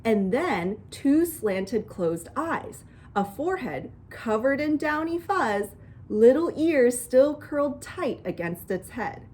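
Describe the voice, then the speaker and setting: A woman reading a children's book aloud in an expressive storytelling style. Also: high-pitched, takes it slowly. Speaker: storybook reader.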